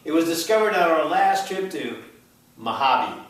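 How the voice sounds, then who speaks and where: A man's voice: on the high side, lively intonation, normal speed, a bit bright. A man reading a laid-back, slangy, beer-loving beach bro in a play, in an echoey room. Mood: carefree. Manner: casual, slangy. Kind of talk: script read aloud at a play reading. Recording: echoey room, far from mic, faint noise.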